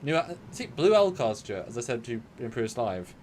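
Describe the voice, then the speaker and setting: A man's voice: mid-range voice, sing-song, a little fast, slight background noise. A man co-hosting a casual live discussion over a video call, chatty and joking. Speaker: co-host. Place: home room with shelves.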